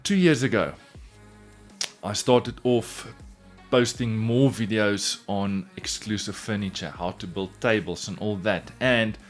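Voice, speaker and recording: A man's voice: on the low side, unhurried, faint music behind. A South African man with an Afrikaans accent, his first language being Afrikaans, telling viewers his furniture business story in an earnest, loosely phrased, repetitive way. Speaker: woodworking YouTuber. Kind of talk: casual storytelling to camera.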